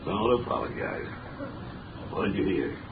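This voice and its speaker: A man acting a gruff, irritable, blustering police commissioner in a 1930s radio drama. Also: low voice, very animated, measured pace, far from the mic, full character voice. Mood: brisk, stern. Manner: brusque, blustering. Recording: scratchy, tinny, echoey 1930s radio.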